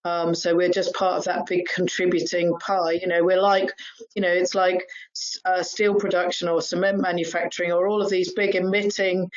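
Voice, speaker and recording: A woman's voice: lowish voice, level tone, normal speed. Chatty woman on a muffled line. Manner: chatty, many fillers, rhetorical "right?". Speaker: panel speaker. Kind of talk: webinar panel discussion.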